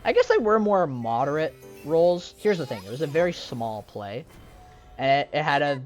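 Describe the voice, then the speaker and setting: A young woman with a very deep voice rambles casually over gameplay, hedging and restarting as she talks. Her pitch swings widely from low mutters up to sudden high bursts, while soft music plays behind her. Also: sing-song, moderate pace. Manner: rambling, self-deprecating, with frequent fillers and restarts. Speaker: gaming streamer.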